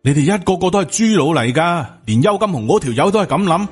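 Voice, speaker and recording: A man's voice: high voice, animated, fast, husky, voice pushed up. A man with a Hong Kong Cantonese accent voicing a proud, indignant, hot-tempered Taoist master in an audiobook. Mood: outraged. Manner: indignant, confrontational. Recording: music under the voice.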